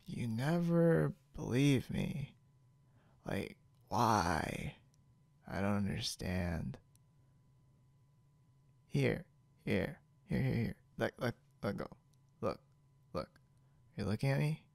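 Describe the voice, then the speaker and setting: A man playing a stubborn, boastful, confused drunk young man in an ASMR roleplay, halting and rambling with mumbled, repeated words. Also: medium pitch, animated, darkish tone, no echo, heavily acted. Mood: stubborn, tipsy. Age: young adult. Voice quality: gravelly, creaky.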